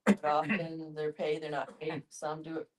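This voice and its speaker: A woman's voice: low-pitched, monotone, moderate pace. A woman informally explaining what she has heard, in a group discussion.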